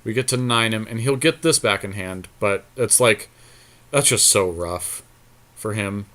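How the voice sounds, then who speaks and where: A man's voice: on the low side, unhurried, fairly bright tone. A man co-hosting a podcast, casually commentating a card game and reasoning aloud through the plays.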